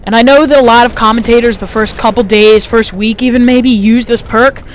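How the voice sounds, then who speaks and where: A teenage boy with a light, high-sounding voice gives relaxed, rambling commentary over a match replay. He wanders between points and leans on fillers. Faint noise sits under the recording. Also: a little fast, low-quality recording. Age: teens. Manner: chatty, rambling, frequent fillers. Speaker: gameplay commentator. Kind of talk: casual gameplay commentary.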